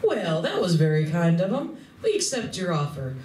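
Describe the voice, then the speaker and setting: A woman's voice: lowish voice, very animated, normal speed, darkish tone, far from the mic, a little hiss or hum. A woman playing an aloof, terse space trader in a live amateur radio play, recorded from afar in an echoey room with a crowd.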